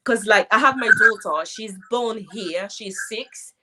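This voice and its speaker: A young Haitian woman with a Haitian French accent, a guest talking through questions in a casual, measured way and laughing easily. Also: mid-range voice, heavy background noise. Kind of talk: casual interview chat. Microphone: laptop live stream.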